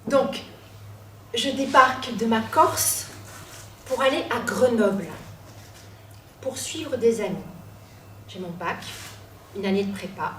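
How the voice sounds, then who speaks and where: A young woman from Corsica tells her own life story, slow and deliberate, with dramatic pauses and wry asides. Her voice sits a little high, and it is picked up from some way off in an echoey room with a faint hum of ambience behind her. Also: a bit bright, far from the mic. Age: 20s. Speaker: student storyteller. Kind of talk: personal storytelling talk.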